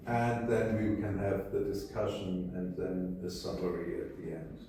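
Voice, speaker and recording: A man speaks slowly and hesitantly to a workshop group, pausing on many fillers. His tone is mellow. The sound is far from the mic in a very echoey room. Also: sits low, little pitch movement, slight background noise. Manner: hesitant, many ums and uhs. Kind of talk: informal workshop talk.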